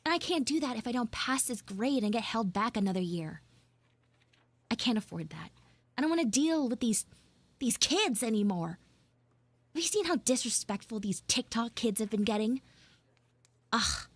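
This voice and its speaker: A woman's voice: fairly high pitch, lively intonation, normal speed, long pauses, no echo. A woman playing a prickly, defensive schoolgirl in a live audio roleplay, stammering and dramatic as she grumbles and pleads. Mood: defensive, exasperated.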